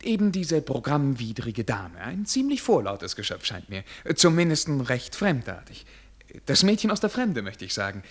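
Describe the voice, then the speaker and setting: An actor playing a haughty, naive German prince in a radio play, speaking in a formal, stilted and hesitant manner. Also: male voice, mid-range voice, big pitch swings, moderate pace, husky. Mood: bewildered, disdainful. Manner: formal, stilted, hesitant with affected filler sounds.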